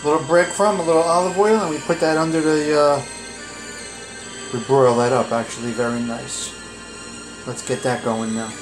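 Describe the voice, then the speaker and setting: A fairly high-voiced man talks his way through a home cooking prep, listing ingredients in a loose, chatty way with plenty of "uh"s. He films on a phone in his kitchen while music plays clearly behind him. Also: steady tone, moderate pace, long pauses, low-quality recording. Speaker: home cook vlogger. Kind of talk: casual cooking walkthrough.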